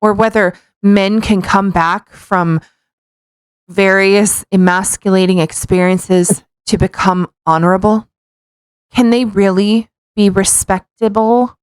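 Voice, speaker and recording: A woman's voice: medium pitch, fairly even intonation, slow, clean tone, no room sound, poor audio. Playful woman co-host, close dry sound. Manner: playful, mocking, profane. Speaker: podcast co-host. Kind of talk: casual dramatic reading with joking asides.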